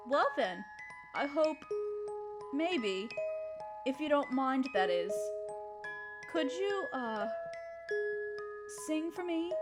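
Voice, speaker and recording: A woman playing a gentle, proper young noblewoman who speaks politely and coyly to her servant in an intimate roleplay audio drama. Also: sits high. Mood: shy, teasing. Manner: polite, formal, period phrasing, coyly teasing.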